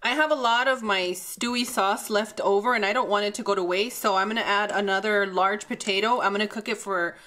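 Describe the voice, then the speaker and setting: A woman in her thirties hosting a casual cooking demonstration in a bright home kitchen, chatty and upbeat, laughing often. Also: mid-range voice, fairly even intonation, brisk, few pauses. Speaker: cooking show host.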